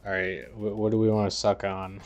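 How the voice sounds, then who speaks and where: A man with a lowish voice talks at a slow, unhurried pace as he hosts a gaming stream. He banters in loose slang with his chat, over faint music and keyboard typing. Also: little pitch movement. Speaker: livestream host. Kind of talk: casual livestream chat while gaming.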